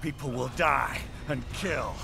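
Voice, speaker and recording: An actor playing a brawny middle-aged senator villain who delivers a bombastic, profane speech. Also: male voice, medium pitch, a little slow, vocal fry. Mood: ranting. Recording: game audio with loud music behind.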